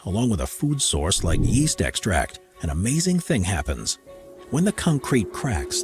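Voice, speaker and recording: Low-voiced, animated man narrating an explainer.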